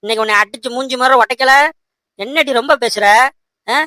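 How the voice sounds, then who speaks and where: A woman plays a young cartoon man with a highish, smooth and clear voice. He is bossy and coaxing, pressing with short, repeated questions and commands. The sound is close and dry. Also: fairly quick, sharp tone, no echo. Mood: drunken, threatening. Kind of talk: amateur cartoon dubbing.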